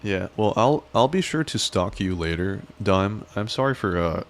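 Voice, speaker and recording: Deep-voiced joking male streamer. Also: animated, moderate pace. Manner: rambling, joking, self-deprecating. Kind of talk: casual live-stream chat.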